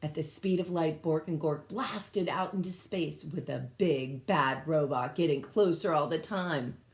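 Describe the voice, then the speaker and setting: A woman reading a storybook aloud to children in a dramatic, emphatic, expressive way. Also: low voice, measured pace. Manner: dramatic, emphatic, engages listeners with questions. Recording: echoey room.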